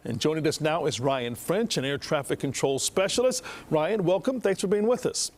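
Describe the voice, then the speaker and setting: A man's voice: on the high side, expressive, normal speed, a little mellow. An American man working as a news anchor, reading and interviewing in broadcast style.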